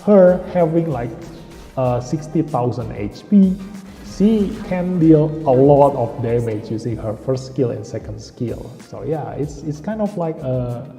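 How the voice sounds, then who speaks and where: A man's voice: medium pitch, lively intonation, unhurried, creaky, warm tone. A young man from Indonesia, chatting casually on a game stream and drifting off topic. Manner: rambling, often loses the thread. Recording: roomy echo with long reverb, faint music.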